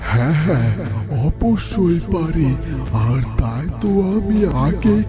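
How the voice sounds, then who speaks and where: A man voicing a grave, wise dervish in a cartoon fairytale, speaking solemnly and haltingly. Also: a little high, sing-song, over music. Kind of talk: Bengali cartoon fairytale dialogue.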